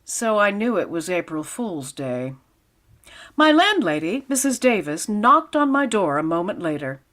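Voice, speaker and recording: A woman playing a wry, lovelorn high school English teacher, narrating dryly in vintage radio comedy style. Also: sing-song, a bit bright, slight background noise. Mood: wistful, wry. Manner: dry, deliberate comic delivery.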